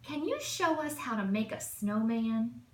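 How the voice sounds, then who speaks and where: A woman's voice: on the high side, animated, a little slow, a little mellow, roomy sound, far from the mic, slight background noise, pitched above the performer's own voice. A woman voicing friendly, encouraging young woodland animals in a storybook, questioning and emphatic.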